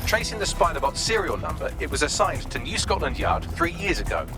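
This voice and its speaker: A man's voice: fairly high pitch, big pitch swings, brisk, music in the background. A man playing a witty, snarky AI assistant in a video game, articulate and sardonic. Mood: wry, analytical.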